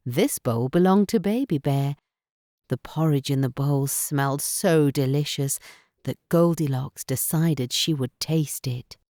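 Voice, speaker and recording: A woman narrating a children's bedtime story in careful, expressive phrases. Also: low voice, dry recording, studio quality.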